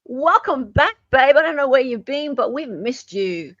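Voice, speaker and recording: A woman's voice: high-pitched, expressive, measured pace, a bit bright, no room sound, muffled recording. An Australian woman hosting a casual livestream in a chatty, affectionate way. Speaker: livestream host.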